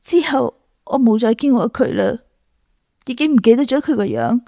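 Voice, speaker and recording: A young woman in a radio drama, pensive and wistful. Her voice sits fairly high with a marked creak, recorded close and dry, and she speaks in a hesitant, inward way. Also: lively intonation, moderate pace, warm tone, no room sound. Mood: wistful, reflective.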